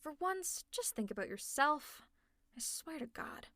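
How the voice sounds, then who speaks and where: A woman's voice: high-pitched, big pitch swings, unhurried, pushed and bright, no echo, studio quality. A woman performing an audio roleplay as a teasing young villainess who scolds and looks after her exhausted rival. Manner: teasing, exasperated and concerned. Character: teasing caring female villain, young adult. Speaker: ASMR roleplay creator.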